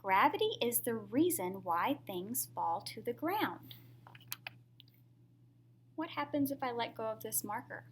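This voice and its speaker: A woman's voice: fairly high pitch, animated, a little slow, long pauses, slight background noise. A woman reading a picture book aloud to young children in a teacherly way, often putting questions to the listener.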